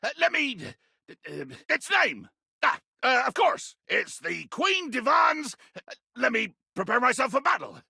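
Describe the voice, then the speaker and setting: Raspy, bombastic little sea captain. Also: male voice, very high voice, big pitch swings, measured pace, sharp tone, no echo, studio quality, exaggerated voice. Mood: flustered. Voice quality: raspy, gravelly. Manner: bombastic, theatrical, archaic nautical insults and sudden roars. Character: tiny, grandiose, furious sea captain trapped in a glass bottle. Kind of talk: video game character acting.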